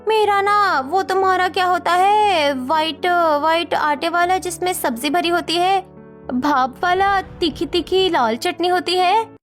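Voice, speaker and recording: A woman acts out a folksy older neighbour aunt in an Indian family story. The voice is extremely high, effusive and wheedling, stingy under all the gushing. Faint music plays underneath. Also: measured pace. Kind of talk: Hindi audio story dialogue acting.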